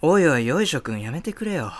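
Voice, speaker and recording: A man's voice: fairly high pitch, very animated, quick pace, very noisy. A man playing an arrogant, flashy rich playboy in a comedy radio drama, with a pompous, showy drawl. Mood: cocky.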